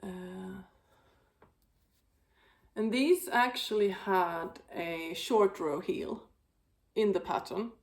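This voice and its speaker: Woman knitting vlogger, raspy gravelly voice, slow rambly chat with lots of uhs. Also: medium pitch. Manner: rambling, many fillers and restarts. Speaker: knitting podcast host. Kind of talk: casual show-and-tell about knitting projects.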